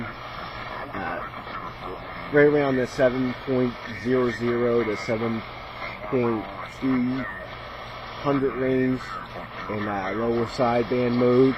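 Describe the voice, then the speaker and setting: A man casually demonstrating a radio as a hobbyist, hesitant and thinking aloud as he goes. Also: medium pitch. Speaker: radio hobbyist presenting a video. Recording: noisy, radio static in background. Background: shortwave radio static and chatter.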